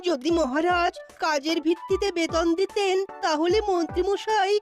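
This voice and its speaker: An actor voicing a mocking cartoon courtier with drawn-out mock alarm. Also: extremely high pitch, steady tone, music in the background.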